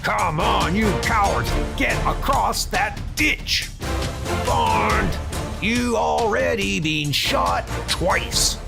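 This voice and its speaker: Sadistic drill sergeant, high strained gravelly bark, bullying commands, loud music behind. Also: male voice, very animated, extremely slow, full character voice. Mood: harsh, bullying. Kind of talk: comic dub acting.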